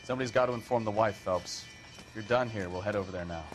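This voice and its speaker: Blunt, low-voiced police detective, acted by a man. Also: fast talker, faint music behind, lightly acted. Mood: blunt, reluctant. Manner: blunt, colloquial. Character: gruff, blunt 1940s male police partner. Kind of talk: scripted dramatic video game acting.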